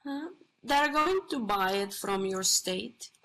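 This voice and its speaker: A woman playing God as a blasé, boastful bathhouse attendant in a play reading, speaking in halting, self-satisfied phrases. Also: medium pitch, lively intonation, a bit bright. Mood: nonchalant.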